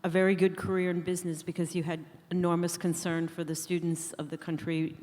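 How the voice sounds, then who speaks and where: A woman's voice: on the low side, flat delivery, brisk, warm tone. A woman moderating a panel, introducing speakers and asking them questions with small restarts. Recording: long reverb of a conference hall.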